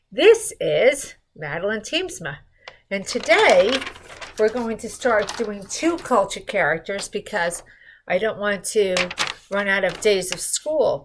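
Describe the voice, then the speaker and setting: A woman's voice: mid-range voice, expressive, slow pace, noisy background. A middle-aged woman hosting school morning announcements, speaking cheerfully to children from a seated spot in a school room. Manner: cheerful, addresses children, reads clues aloud.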